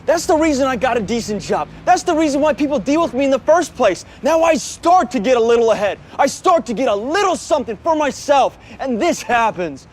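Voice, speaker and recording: An actor playing a resentful young adult son, pleading in an aggrieved way in a naturalistic film drama. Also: male voice, extremely high pitch, big pitch swings, fairly quick, hardly any pauses, full character voice. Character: resentful adult son living in his father's shadow. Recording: film audio with faint background noise.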